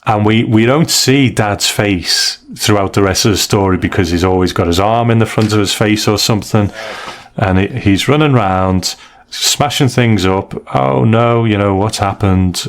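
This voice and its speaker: A man's voice: on the low side, normal speed, smooth. A man hosting a podcast, talking through stories in a casual, slightly hesitant conversational way. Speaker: podcast host. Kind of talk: casual comic review discussion.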